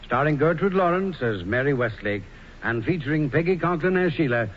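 A man's voice: a little high, expressive, brisk, muffled recording, slight background noise. A man announcing a radio theatre program in a formal, scripted 1940s broadcast style.

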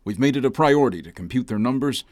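A man's voice: mid-range voice, lively intonation, fast, a little mellow, no echo, natural voice. A man playing a condescending, officious federal agent in a radio drama. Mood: stern, contemptuous.

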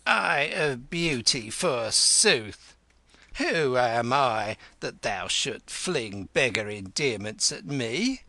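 A man voicing a proud, sharp-tongued old widowed queen who scolds and cackles. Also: mid-range voice, very animated, slow pace, dry recording, heavily acted. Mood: indignant.

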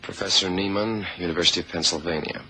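Breezy, very bright-edged detective sidekick. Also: male voice, sits low, steady tone, fast, poor audio. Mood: offhand, reporting. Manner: casual, offhand, colloquial. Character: breezy, slangy male American FBI sidekick. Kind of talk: 1970s radio drama acting. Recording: faint noise, old radio recording.

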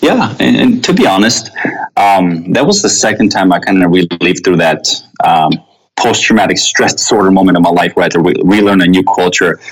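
A man with a low, deep voice, speaking as an interview guest. He talks earnestly and reflectively, pausing on ums and correcting himself as he goes. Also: expressive, low-quality recording. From Armenia. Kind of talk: reflective personal storytelling.

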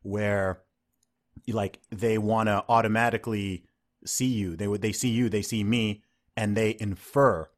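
Laid-back low-voiced man chatting. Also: unhurried. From grew up in Saudi Arabia. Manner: animated, mocking asides, frequent likes. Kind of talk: casual conversation.

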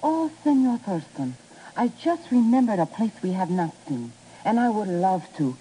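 An actress playing a refined, polite rancher's daughter in a 1950s radio drama, gracious and formal. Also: female voice, medium pitch, sing-song, normal speed, warm tone, lightly acted. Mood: gracious, eager. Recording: muffled, noisy vintage radio recording.

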